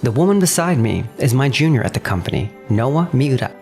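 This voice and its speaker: A man's voice: mid-range voice, sing-song, fairly quick, creaky, a little mellow, faint music behind. A man playing an earnest, responsible young businessman in a manga dub, narrating and speaking in character. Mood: measured narration. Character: earnest, responsible 29-year-old businessman narrating his betrayal.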